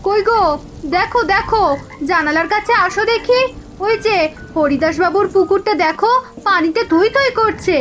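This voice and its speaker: A woman's voice: extremely high pitch, normal speed, a bit bright, a little hiss or hum. A woman playing a poor village wife and mother in a cartoon folk tale, worried, coaxing and lamenting. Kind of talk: cartoon fairy-tale dialogue acting.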